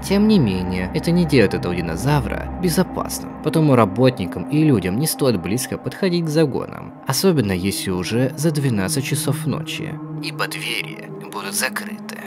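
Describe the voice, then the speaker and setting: A man reading a scripted horror video narration as a narrator. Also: medium pitch. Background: background music.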